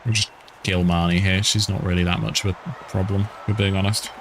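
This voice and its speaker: A man's voice: sits low, flat delivery, a little fast, noisy background. A man from the UK casually commenting while playing a video game, with wry, grumbling asides. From United Kingdom. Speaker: gaming streamer. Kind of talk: casual let's-play commentary. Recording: game music behind the voice. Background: video game music.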